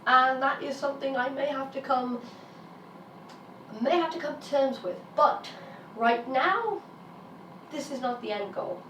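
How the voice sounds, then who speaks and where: A British woman in her thirties, a little raspy from illness, talking frankly and emphatically to her vlog audience. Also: sits high, a little slow, long pauses, fairly bright tone, a little hiss or hum. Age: 30s. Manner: frank, emphatic, swears when frustrated. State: raspy while getting over an illness. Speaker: vlogger. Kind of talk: candid personal vlog monologue. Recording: roomy sound from a distance, faint ambience.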